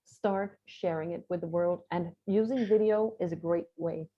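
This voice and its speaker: A woman's voice: lowish voice, fairly even intonation, moderate pace, dull audio. A woman guest in an interview, giving upbeat, encouraging coaching advice over a video call. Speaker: guest, visibility strategist.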